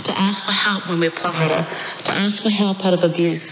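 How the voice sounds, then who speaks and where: A woman's voice: lowish voice, steady tone, brisk. A woman with a New Zealand accent giving an emphatic, repetitive parliamentary speech. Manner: emphatic repeated phrases. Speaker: party co-leader in parliament. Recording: echoey chamber, muffled noisy shortwave relay.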